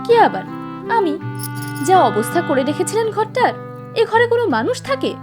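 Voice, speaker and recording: High-voiced young woman, playfully bossy cartoon heroine. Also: very animated, moderate pace. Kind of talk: animated love story dialogue. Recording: studio voice under loud background music. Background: music, guitar.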